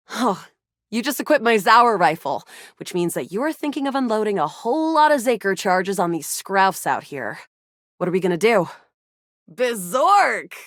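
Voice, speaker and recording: A woman's voice: a little high, a bit bright, no room sound, clean studio sound. A young woman voicing a living video game as a playful, eager, theatrical adventurer character. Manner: theatrical, emphatic.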